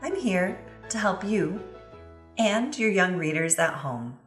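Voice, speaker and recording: A woman giving an instructional voice-over interpretation, phrasing her explanations in a measured, clear way. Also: on the low side, animated, soft background music. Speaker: voice-over interpreter for a signing presenter. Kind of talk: instructional voice-over translating signed presentation. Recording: roomy, reverberant sound.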